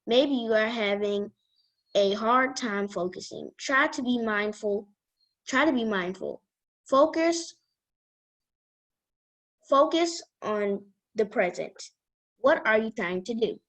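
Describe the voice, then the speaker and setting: A woman reading a children's self-help book aloud as a guest reader, in a careful, halting manner. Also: fairly high pitch, animated, a bit bright.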